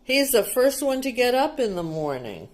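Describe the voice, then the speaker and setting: A woman's voice: a little high, very animated, normal speed. A woman reading a picture book aloud to young children like a teacher, explaining things simply and asking them questions.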